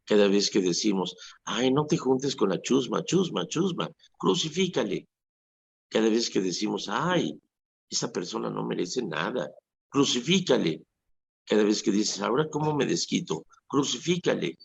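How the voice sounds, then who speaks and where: A man's voice: on the low side, sing-song, moderate pace, creaky, breathy edge. A Mexican priest in his sixties preaching earnestly on a radio show, acting out quoted remarks as he goes. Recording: dull lo-fi radio recording.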